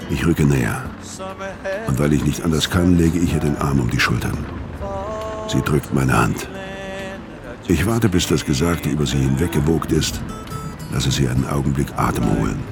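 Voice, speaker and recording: A weary old killer in a radio play. His voice sits extremely low and crackles with gravel. He speaks gravely and tersely, with music behind him. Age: older. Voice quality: very deep, gravelly. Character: weary, gravelly aging ex-killer facing death, narrating. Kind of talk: radio drama dialogue and first-person narration.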